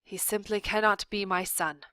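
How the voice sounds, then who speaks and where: A woman's voice: medium pitch, steady tone, moderate pace, sharp tone, dry recording, natural voice. A pompous old father mouse who frets and blusters, flinging out theatrical outbursts, as a woman reader puts him on. He speaks in a very dry, close sound with no room around him. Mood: blustering.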